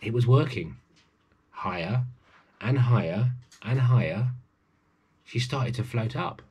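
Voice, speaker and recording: A man's voice: medium pitch, expressive, slow pace, a little mellow, a little hiss or hum. A man in his forties reads a children's story aloud, adding chatty asides to his young listeners. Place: small room at home with bookshelves.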